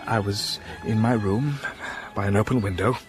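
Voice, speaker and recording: An actor playing a blind, scarred older gentleman in a radio drama, speaking with intense, impassioned feeling. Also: on the low side, moderate pace, close to an everyday voice. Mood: brooding.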